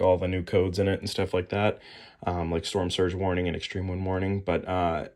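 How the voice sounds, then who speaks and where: A man giving a casual show-and-tell product rundown as a hobbyist video host, informal and somewhat halting. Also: low-pitched. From New England, United States.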